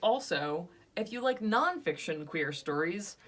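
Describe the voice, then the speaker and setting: A woman's voice: fairly low pitch, expressive, fairly bright tone. Young female podcast co-host, playful, slightly distant sound. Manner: playful, teasing, quick to laugh. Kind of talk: casual podcast review chat.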